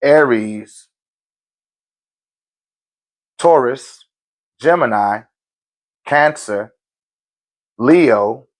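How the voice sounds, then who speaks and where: A man hosting a spiritual live stream, talking loosely in slang and answering viewer questions in a relaxed, conversational way. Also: mid-range voice, extremely slow, low-quality recording.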